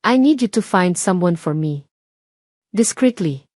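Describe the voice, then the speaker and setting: A woman's voice: on the low side, animated, measured pace, darkish tone, no room sound. An earnest, intent man pleads in a dramatic audio story, as played by a woman narrator. The sound is dry, with little room around the voice. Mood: direct, urgent. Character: earnest, pleading adult male doctor searching for truth.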